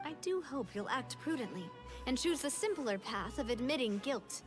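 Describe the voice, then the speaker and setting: An assertive young woman prosecuting in an anime game. Her high voice is earnest and pointed, building each challenge deliberately over clear music. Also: lively intonation. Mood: pointed accusation. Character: assertive young female amateur prosecuting attorney.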